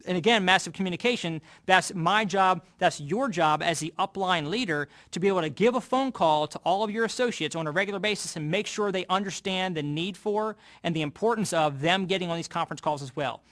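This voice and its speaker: A man with a fairly high voice talks quickly as he coaches a sales team. His delivery is motivational and emphatic, and he hammers key phrases by repeating them. He sounds like a team leader training his recruits. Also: a bit bright.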